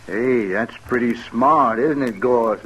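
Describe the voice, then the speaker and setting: Simple, guileless rural American outlaw. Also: male voice, medium pitch, fairly bright tone, lo-fi sound. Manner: guileless, slow-witted, folksy. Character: simple-minded, naive male outlaw drifter, war veteran. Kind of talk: old-time radio western acting. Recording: noisy vintage radio recording.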